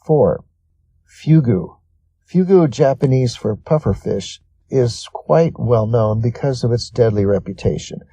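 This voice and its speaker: A man's voice: mid-range voice, expressive, measured pace, mellow, husky, no echo. A man narrates scripted reading material, working through it as a prepared article.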